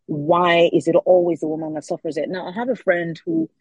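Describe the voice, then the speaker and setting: A woman's voice: lowish voice, animated, a little fast, a little mellow, husky, dry recording, highs cut off. A Nigerian woman in her forties, an author answering interview questions thoughtfully, often repeating words as she searches for them. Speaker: author guest.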